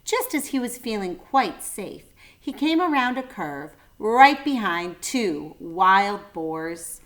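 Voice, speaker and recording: A woman's voice: mid-range voice, big pitch swings, slow pace, a bit bright. Woman reading a children's story aloud. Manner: brief asides to young listeners.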